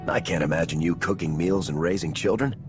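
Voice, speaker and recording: A man playing a composed male squad commander with a calm, dry manner in a video game. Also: low-pitched, fairly quick, soft background music, natural voice. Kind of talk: video game cutscene acting.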